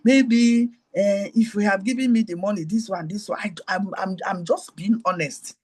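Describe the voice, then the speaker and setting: A Nigerian woman hosts her show on an online group call and teaches in a high voice with a breathy, husky edge. Her pitch stays in a middle range for a woman. She drifts into personal stories and keeps repeating her key points to the people listening. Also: normal speed, darkish tone. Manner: digressive, repeats key phrases, talks straight to listeners. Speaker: host.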